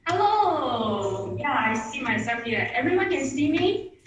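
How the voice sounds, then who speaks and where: A woman with Mandarin as her gives an online presentation. Her voice sits a touch high and sounds off-mic, as if from across a room. Echo rings around every phrase, and she restarts her sentences eagerly. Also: sing-song, measured pace. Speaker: webinar speaker. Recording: echoey room audio from a distance.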